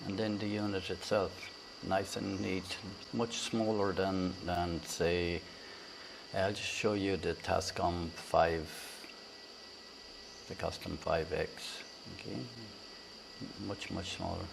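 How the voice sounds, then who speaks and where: A man with an Irish accent, hosting a casual product review on a lavalier mic, talking in a halting way with many fillers and restarts. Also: low voice, level tone, creaky, noisy background. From Ireland. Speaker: tech reviewer, channel host. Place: indoors in a room with a fan, then outdoors by a busy road.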